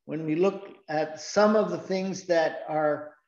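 Man, pastor, sermon, halting and earnest, echoey sanctuary, dull sound. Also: fairly high pitch, a little mellow. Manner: slow, halting, earnest with many restarts. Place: church sanctuary. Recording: roomy, dull church recording.

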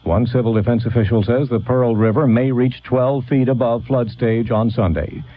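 A man reading a scripted radio news bulletin in a measured, formal way. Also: mid-range voice, steady tone. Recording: dull lo-fi old radio.

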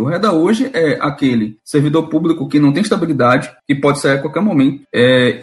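A man from Salvador, speaking earnestly as a union speaker on a live panel and repeating key figures for emphasis. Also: a little high. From Brazil, Salvador.

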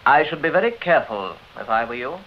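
An actor playing a brisk, discreet, friendly police inspector with an English accent in a mid-century radio drama. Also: male voice, medium pitch, big pitch swings, slight background noise. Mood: grave, cautious. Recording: dull, band-limited old radio recording.

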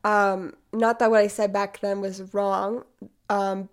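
A woman's voice: medium pitch, little pitch movement, takes it slowly. A woman aged eighteen hosting a podcast, congested from a cold, talking chattily with lots of fillers. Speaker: podcast host. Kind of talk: casual podcast monologue.

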